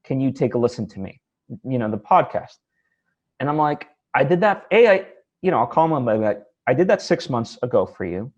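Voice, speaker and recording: Male interviewer, casual and kinda hedging as he thinks out loud, slightly dull lo-fi sound. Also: medium pitch, big pitch swings, brisk. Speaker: interview host.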